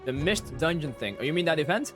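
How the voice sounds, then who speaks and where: A man with a slightly high voice chats casually during a game livestream. Light music plays behind him as he talks. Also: expressive, fast talker. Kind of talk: casual chat while playing.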